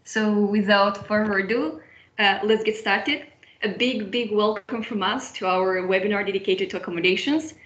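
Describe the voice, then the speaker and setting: A woman's voice: medium pitch, normal speed, a bit bright, echoey room. Young woman, webinar moderator, video call, dull lo-fi audio. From Moldova. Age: young adult. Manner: friendly, with frequent restarts and repetitions. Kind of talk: online webinar hosting.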